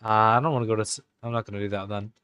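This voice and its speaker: A man's voice: on the low side, level tone, rapid-fire, fairly bright tone, clean tone, no room sound, muffled recording. A young man live-streaming in a put-on Irish accent that slips now and then, chatting casually and muttering to himself while he types game commands.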